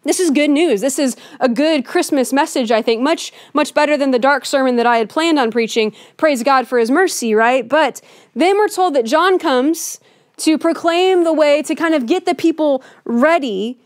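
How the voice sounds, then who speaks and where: A woman pastor giving a Christmas sermon in a church, conversational and earnest, often softening her points with "kind of.". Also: high voice, normal speed, dry recording, clean studio sound. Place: church sanctuary with a Christmas tree.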